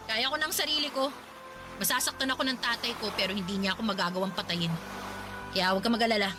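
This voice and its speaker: A woman playing a sharp-tongued pregnant young woman. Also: a little high, fast, lightly acted. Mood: scolding. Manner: sharp, scolding. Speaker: voice actress in radio drama. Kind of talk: radio drama acting. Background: music bed.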